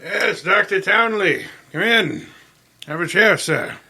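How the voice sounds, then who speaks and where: An actor playing a brusque, skeptical private detective who questions with authority in an old-time radio mystery. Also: male voice, sits high, sharp tone, husky, exaggerated voice. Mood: probing, skeptical. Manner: brisk, commanding, skeptical.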